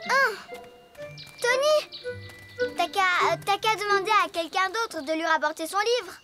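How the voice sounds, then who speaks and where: A woman's voice: very high voice, a little slow. A woman voicing a boastful, cheeky little girl in a cartoon, with soundtrack music behind. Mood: wheedling. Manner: bragging, then sheepish and hesitant.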